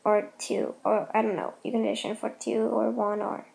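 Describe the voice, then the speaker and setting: A woman's voice: mid-range voice, level tone, normal speed, gravelly, a little mellow, low-quality recording. A young woman making a casual announcement to viewers in a hesitant, rambling way, often repeating herself. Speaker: host of a fan voice-acting channel.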